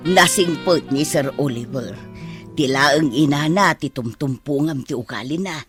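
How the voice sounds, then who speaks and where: A woman's voice: low voice, normal speed, music in the background, natural voice. A woman playing a frank, teasing older household servant in a radio drama, with an Ilocano Filipino accent. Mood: musing, instructing.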